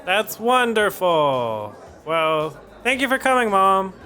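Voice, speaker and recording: A man's voice: high-pitched, very animated, slow pace, background noise, full character voice. A man playing a nervous, earnest young groom who talks awkwardly.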